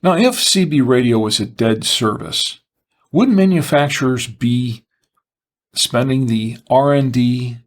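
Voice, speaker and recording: A man presenting a semi-scripted product review, explaining and listing features in measured, short phrases. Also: medium pitch, expressive.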